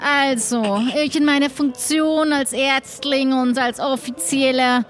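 A woman's voice: sits high, fairly even intonation, slow pace, soft background music. A woman speaking as a player in an improvised audio adventure, acting out her part. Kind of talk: improvised role-play.

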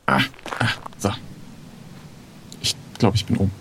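A man's voice: a little slow, pushed and bright, a little hiss or hum. A man playing an improvised audio adventure, casually thinking aloud and hesitantly announcing his moves. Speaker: player in an improvised adventure game.

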